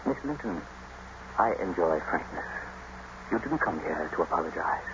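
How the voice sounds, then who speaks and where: An actor playing a brooding, vengeful master of a moorland house in old radio drama style, commanding and deliberate. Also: male voice, low voice, lively intonation. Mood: smooth, seductive. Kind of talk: 1940s-50s radio drama acting. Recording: muffled, noisy old radio broadcast.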